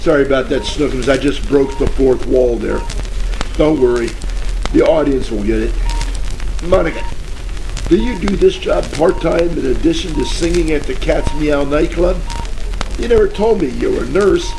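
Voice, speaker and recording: An actor playing a confused, wisecracking hardboiled detective in a parody of old radio mysteries. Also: male voice, a little high, lively intonation, moderate pace, gravelly. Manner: slangy, hardboiled, bewildered. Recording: crackly old-time radio with loud music.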